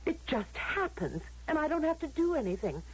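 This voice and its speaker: An actress playing an anguished, restless young rebel in a radio drama, halting and repeating words with impassioned feeling. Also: female voice, high-pitched, big pitch swings. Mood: anguished, searching. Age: young adult. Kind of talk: old-time radio drama acting. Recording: dull lo-fi radio drama recording.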